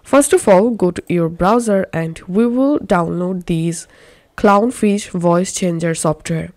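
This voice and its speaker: Slow-paced woman giving tutorial instructions, dry sound. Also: on the low side, darkish tone, no echo. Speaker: tutorial presenter. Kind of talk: step-by-step software tutorial narration.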